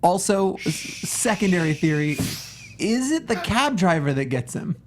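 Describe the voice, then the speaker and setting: A film show co-host speaks at a middling pitch in casual panel chat. The delivery is emphatic, often breaking phrases up and repeating them. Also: big pitch swings, moderate pace, a crowd in the background.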